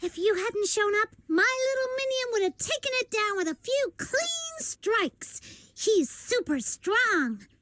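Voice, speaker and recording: A woman's voice: very high voice, big pitch swings, measured pace, a bit bright, heavily acted. A woman voicing a small, cocky, bossy girl companion in a video game, brash and playful. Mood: boastful. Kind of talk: video game character acting.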